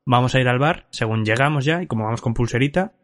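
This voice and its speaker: A man's voice: mid-range voice, fast talker, a bit bright. A man hosting a casual podcast, telling a drunken travel anecdote with frequent laughs and swearing. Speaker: podcast host. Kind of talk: casual chat, storytelling.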